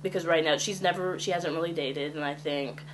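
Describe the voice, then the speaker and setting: A woman in her twenties speaks with a notably low voice, candid and conversational. She pauses on ums as she introduces herself for a casting tape. A faint noise sits behind her. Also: steady tone. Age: 20s. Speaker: casting applicant.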